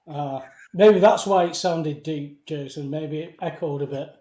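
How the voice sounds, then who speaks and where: A man co-hosting a live online show, teasing and laughing through quick banter. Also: on the high side, mellow, roomy sound.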